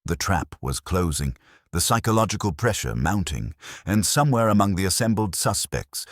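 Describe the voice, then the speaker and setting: A man reading a scripted mystery story as narrator, in a measured, even-paced way. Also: very deep voice, husky, no room sound, studio quality.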